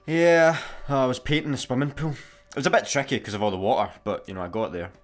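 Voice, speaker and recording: An actor with a Scottish accent playing a daft, easygoing janitor in a sci-fi comedy radio play, casual and obtusely deadpan. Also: male voice, medium pitch, fast talker, lightly acted. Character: daft, easygoing, literal-minded male slacker janitor.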